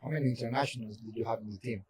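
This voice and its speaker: A man's voice: mid-range voice, steady tone, racing, a little mellow, husky. A man hosting a casual podcast interview, asking conversational, leading questions. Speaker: podcast host.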